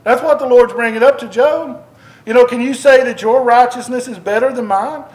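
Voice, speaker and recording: High-voiced man preaching. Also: moderate pace, a little mellow, poor audio. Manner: conversational, repeats and restarts, rising emphasis on questions. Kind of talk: sermon, Bible teaching. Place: church building. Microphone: microphone.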